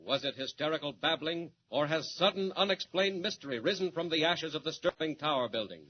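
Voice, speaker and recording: A man's voice: on the high side, animated, a little fast, highs cut off. A man announcing and narrating a 1940s radio serial in a dramatic, declamatory way. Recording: scratchy 1940s radio transcription.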